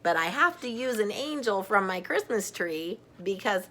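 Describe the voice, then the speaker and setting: A middle-aged woman reading a picture book aloud to children, gently and deliberately, with chatty asides. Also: mid-range voice, animated, slight background noise.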